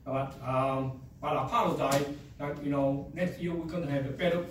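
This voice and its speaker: A man with a strong Chinese accent presents to a board, halting and upbeat, leaning on fillers as he goes. His voice sits a little high. It is picked up from across a very echoey room. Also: fairly even intonation, a little hiss or hum. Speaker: association presenter. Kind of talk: public meeting presentation. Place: meeting room. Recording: echoey room, mic at a distance.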